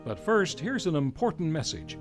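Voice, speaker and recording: Male announcer, higher voice, scripted old-time radio show introductions with music behind. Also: very animated, moderate pace.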